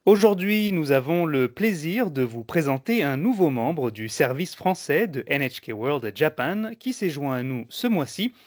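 A man co-hosting a radio information magazine, explaining things in a measured, presenter-like way. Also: fairly high pitch, very animated. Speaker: radio co-host.

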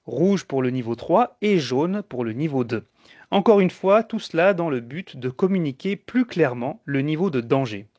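A man co-hosting a radio information magazine, explaining things in a measured, presenter-like way. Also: on the high side, lively intonation, a little mellow, no echo, studio quality. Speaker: radio co-host.

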